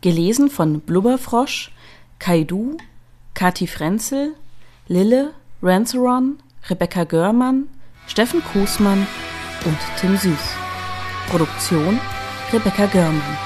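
A woman's voice: mid-range voice, expressive, slow pace, a little mellow. A woman reading poetry and announcements as scripted narration. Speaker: podcast announcer and poem reader. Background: music.

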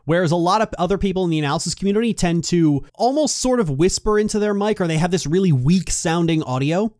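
Loud, direct man with a dry close mic. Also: sits high, animated, no echo. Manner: loud, direct, clear delivery; fast-talking. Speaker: video essay host. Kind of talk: casual podcast discussion. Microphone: close studio microphone.

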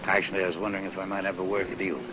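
Rumpled, curious police lieutenant male actor, audio drama. Slightly low, hesitant, courteous but never lets go; heavy outdoor noise. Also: little pitch movement, very fast, lightly acted. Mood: politely probing. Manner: hesitant, unassuming, politely persistent questioning. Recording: loud street and vehicle noise behind.

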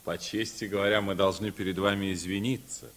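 An actor playing a dignified sea captain with formal, courteous delivery in a Soviet radio play. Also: male voice, low-pitched, fairly even intonation, fairly quick, a bit bright.

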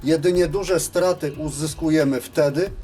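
A man's voice: a little high, steady tone, normal speed, mellow, soft background music. A man giving scripted, methodical and matter-of-fact instructional narration as the presenter of a technical tutorial.

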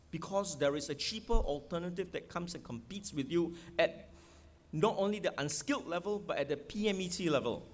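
A man's voice: sits high, a bit bright. A middle-aged man with a Singaporean accent gives an emphatic election rally speech at a podium microphone, firing rhetorical questions at the crowd. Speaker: political candidate. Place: outdoor stadium rally stage.

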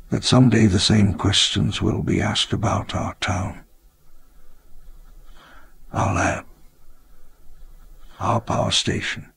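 A wistful old founder looking back on his grand dream, in a game's memoir narration. His voice is low and deeply gravelly, with a rough, husky edge. He speaks in a measured, reflective way. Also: full character voice. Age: older. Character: elderly male theme park founder, wistful and grand. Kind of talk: scripted memoir narration in a game.

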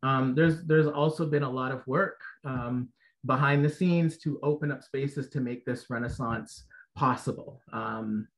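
A middle-aged man talks through a headset mic on a video call from home. His speech is halting, full of ums and restarts, and he speaks at a middle pitch for a man. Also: steady tone, low-quality recording. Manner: halting, many ums, warm asides to students. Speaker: professor and university administrator. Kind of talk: panel remarks. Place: home room, indoors.

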